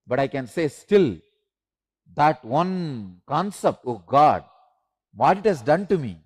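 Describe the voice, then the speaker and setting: A man, a Tamil speaker, leading a spiritual class with slow, repetitive instructions and emphatic rises. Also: on the high side, very animated, darkish tone, husky. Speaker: spiritual teacher.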